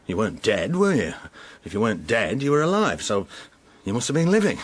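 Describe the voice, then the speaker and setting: A man's voice: medium pitch, wildly swooping pitch, fairly quick, husky. A man acting in a radio drama as a bewildered, jealous middle-aged English husband, blunt and plaintive, repeating himself. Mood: exasperated.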